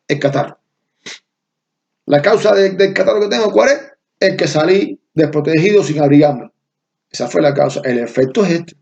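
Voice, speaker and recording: A man's voice: fairly high pitch, gravelly, a little mellow, dull audio. A middle-aged man from Cuba with a Cuban Spanish accent, giving an online university lecture, stressing key terms and checking in with students.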